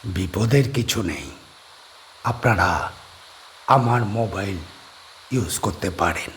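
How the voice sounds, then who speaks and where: An actor playing a calm, polite man who hosts guests at his island bungalow. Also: fairly low pitch, slow pace, a little hiss or hum, pitched below the performer's own voice. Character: middle-aged man D'Souza, calm island bungalow host.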